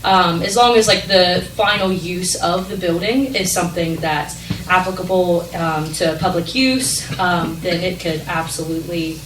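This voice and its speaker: A woman's voice: on the low side, measured pace, background noise. A young woman from a regional planning group explaining a grant program at a council meeting, with many ums and likes. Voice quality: loud voice. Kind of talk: public meeting presentation. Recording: echoey meeting hall, distant mic.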